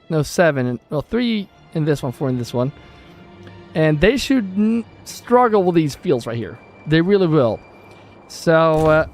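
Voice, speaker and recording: A man's voice: a little high, a little mellow. Chatty Swedish man streaming a game. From southern Sweden. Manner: chatty, thanks viewers and reads chat aloud. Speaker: gaming livestreamer. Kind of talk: casual live-stream chat over gameplay.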